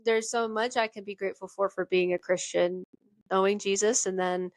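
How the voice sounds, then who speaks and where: A woman in a band, answering an interview question about her faith in an earnest, hesitant way with many pauses and fillers. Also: mid-range voice, fairly even intonation, no echo. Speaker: band member and church worship team singer.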